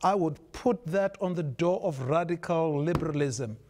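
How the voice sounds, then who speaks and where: Male guest author from Zimbabwe, high pitch, earnest lecturing tone with stumbles. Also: moderate pace, a little mellow, slight background noise. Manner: earnest, emphatic, lecturing, stumbles and repeats words. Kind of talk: studio talk show discussion.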